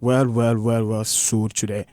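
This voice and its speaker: A man's voice: lowish voice, little pitch movement, measured pace, a little mellow, no echo. A man with a Ghanaian English accent, a musician guest in a radio studio interview, speaking passionately and emphatically and repeating his points.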